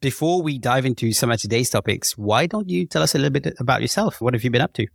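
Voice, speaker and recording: Creaky-voiced man hosting a podcast. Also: medium pitch, animated, quick pace, no echo, studio quality. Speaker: podcast host. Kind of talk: podcast intro and interview questions.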